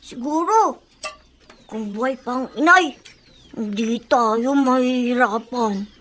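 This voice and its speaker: A woman's voice: on the high side, takes it slowly, fairly bright tone, a little hiss or hum. A woman voicing a young boy, an anxious little brother, in a Filipino radio drama. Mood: wistful.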